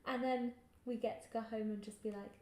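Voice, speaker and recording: Upbeat young woman, podcast co-host, gushing chat with a slightly high voice in an echoey room. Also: steady tone, brisk, darkish tone, slight background noise. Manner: chatty, gushing, bursts into laughter. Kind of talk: casual chat.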